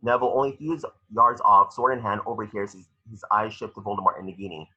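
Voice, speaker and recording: Male narrator for a table read: rough-edged, lower register, reading action lines with occasional stumbles, lo-fi home recording. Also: fast. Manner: stumbles over words while reading. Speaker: narrator reading stage directions.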